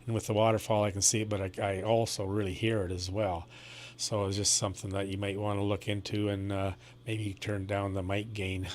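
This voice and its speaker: A man with a fairly low voice talks casually as a ham radio operator. He explains things plainly and without a script. A clear noise and hum sit behind his voice. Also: flat delivery, brisk, a little mellow. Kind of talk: unscripted ham radio conversation, technical advice.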